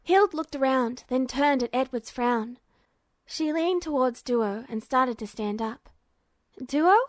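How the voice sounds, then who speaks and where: Female audiobook narrator for fan fiction: high register, scripted storytelling, close dry sound. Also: measured pace, dry recording.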